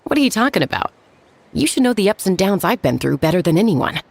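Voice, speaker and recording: A woman playing an easygoing, candid young female warrior in a video game, with a creaky edge to the voice. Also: mid-range voice, very animated, fast talker, slight background noise. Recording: faint game music behind the voice.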